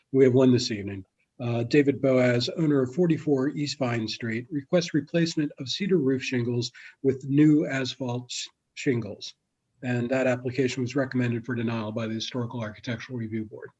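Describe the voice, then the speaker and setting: A man reads the roll call and an agenda item in a formal tone over a video call. His voice is husky at a middle pitch. The audio sounds slightly dull and muffled. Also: darkish tone. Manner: formal, reads items aloud. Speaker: council member calling the roll and reading items.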